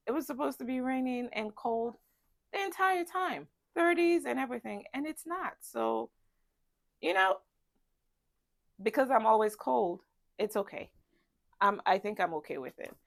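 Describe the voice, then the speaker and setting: A woman's voice: on the high side, lively intonation, moderate pace, vocal fry, pauses often, dry recording, studio quality. A woman with a congested, stuffy voice chatting casually as a travel vlogger, rambling with many ums and restarts. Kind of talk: casual packing chat to camera. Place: ship cabin.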